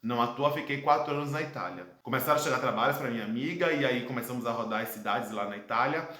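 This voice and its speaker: A Brazilian man in his thirties speaks at an ordinary, mid-range pitch, telling his own story in an earnest, reflective way. He leans on dramatic pauses as he goes. The room around him rings with a noticeable echo. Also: pushed and bright. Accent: Brazilian Portuguese. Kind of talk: personal testimony monologue. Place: his home, indoor room. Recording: echoey room.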